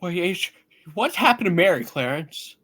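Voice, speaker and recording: A woman's voice: fairly low pitch, sing-song, moderate pace, a bit bright. A woman playing a distraught, desperate family man in a hammed-up radio play reading. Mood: anxious pleading.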